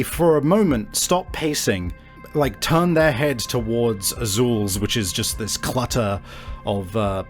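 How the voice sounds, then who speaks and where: Male game master, mid-pitched, unhurried and halting improvised narration over faint music. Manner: halting, searching for words.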